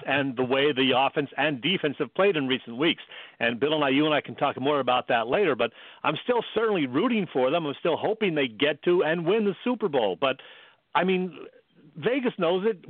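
An American man co-hosting casual sports radio, talking in a measured, explanatory way. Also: fairly high pitch, no echo. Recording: dry, phone-like narrowband radio sound.

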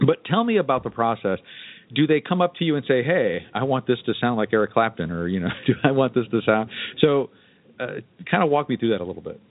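A man's voice: mid-range voice, expressive, a little fast. A man hosting a podcast, chatting casually in a rambling, hesitant way with loose filler phrases. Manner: rambling, hesitant, frequent "you know" and "kinda". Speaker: podcast host. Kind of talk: casual interview chat. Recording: dull lo-fi, dry podcast audio.

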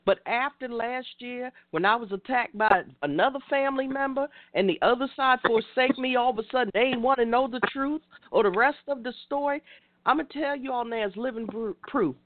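A woman's voice: fairly high pitch, fairly quick, narrow, tinny audio. A woman in her fifties hosting a call-in talk show, speaking in a preachy way and addressing listeners directly. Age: 50s. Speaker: radio show host.

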